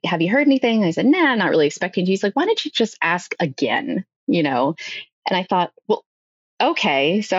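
Woman podcast guest, low register, conversational storyteller who acts out quotes, slightly muffled lo-fi audio. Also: no echo. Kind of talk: personal storytelling in a conversational interview.

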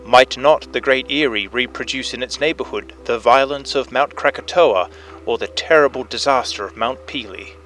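A man playing an earnest head police inspector, narrating a storybook tale in formal, measured fashion, as if on an old phonograph. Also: mid-range voice, fairly bright tone, low-quality recording. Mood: grave, intrigued. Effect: old phonograph recording. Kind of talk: dramatic first-person storybook narration. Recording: narration over music, phonograph effect. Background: music.

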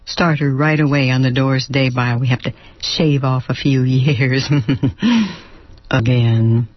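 A woman's voice: a bass voice, slow, no room sound, dull audio, pitched below the performer's own voice, exaggerated voice. A woman doing a bossy sassy office boss. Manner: bossy, barking orders.

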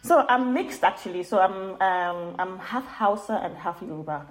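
A woman's voice: medium pitch, lively intonation, normal speed. Nigerian woman in an echoey room. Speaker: guest, university postgraduate admissions officer. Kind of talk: conversational podcast interview. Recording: roomy, echoey sound.

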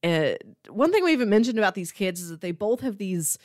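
A woman's voice: medium pitch, very animated, brisk, darkish tone, no echo, studio quality. A woman co-hosting a film podcast, talking through a movie in casual, conversational style.

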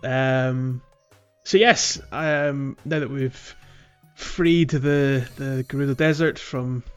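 A man's voice: mid-range voice, steady tone, takes it slowly, clear, resonant tone. A man co-hosting a podcast in casual, informal chat with some jokey banter. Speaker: podcast co-host. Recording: slightly dull audio over music. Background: music.

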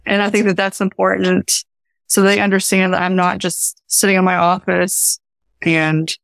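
A woman's voice: mid-range voice, level tone, moderate pace, gravelly, no room sound. A young woman with an Appalachian accent answering interview questions as a research scientist, earnest and informal in the way she talks. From Appalachia, United States. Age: young adult. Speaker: professor and research scientist, podcast guest.

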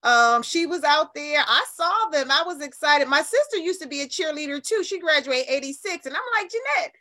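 Bright-voiced, higher-pitched American woman, chatty. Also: expressive, moderate pace. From New Haven, United States. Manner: chatty, colloquial, emphatic, storytelling. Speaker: radio interview guest, nonprofit leader. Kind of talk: animated conversational interview.

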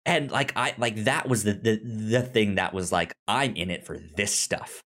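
A man co-hosting a podcast in casual discussion, halting and often stammering over repeated words. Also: fairly low pitch, a bit bright. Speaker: podcast co-host reviewing a TV series.

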